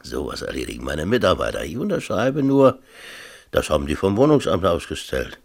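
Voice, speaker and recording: An actor playing a middle-aged mayor in a 1970s radio drama, chummy, colloquial and patronizing while evasive. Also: male voice, sits low, big pitch swings, a little fast, gravelly, full character voice. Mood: evasive, blustering. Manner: familiar, colloquial, brushing off with hearty chumminess.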